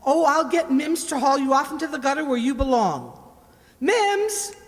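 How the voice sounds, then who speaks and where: A scolding kitchen cook, played by a woman in a radio play. The voice is high and very animated, brusque and suspicious, ringing out in a long hall reverb. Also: moderate pace. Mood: indignant. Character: cross, scolding household cook, suspicious of beggars.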